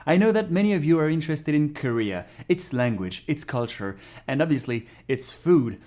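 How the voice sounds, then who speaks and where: A man's voice: fairly high pitch, expressive, moderate pace, a little mellow. A man who is a non-native English speaker giving a casual, frank video lesson on learning a language. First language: not English. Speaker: language teacher YouTuber.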